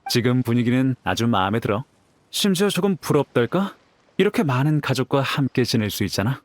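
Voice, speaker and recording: A man's voice: mid-range voice, big pitch swings, fairly quick, no room sound, close to an everyday voice. Breezy, confident young warrior, played by a man. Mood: friendly, candid. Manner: casual, easygoing. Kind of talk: anime game voice acting.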